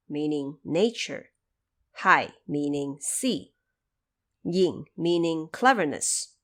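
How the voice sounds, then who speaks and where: A woman voicing a stern, wise old male patriarch in an audio drama, commanding and deliberate as he lectures. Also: low-pitched, exaggerated voice. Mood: solemn, instructive.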